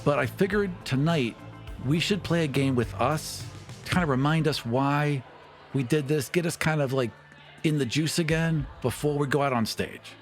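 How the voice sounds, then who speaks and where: A man's voice: on the high side, moderate pace, faint music behind. A man running a tabletop roleplaying game, improvising narration and dialogue in an informal, conversational way. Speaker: game master.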